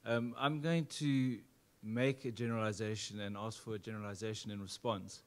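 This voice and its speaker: A man's voice: fairly low pitch, steady tone, a little fast. A middle-aged man with a South African accent asking an audience question at a stand mic, hesitant and thoughtful. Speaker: audience member. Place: event hall.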